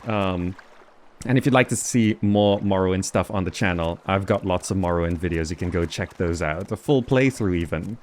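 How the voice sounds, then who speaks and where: A man's voice: sits low, moderate pace, darkish tone. A man hosting a gaming video, giving casual commentary and thinking aloud as he goes. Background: faint game music.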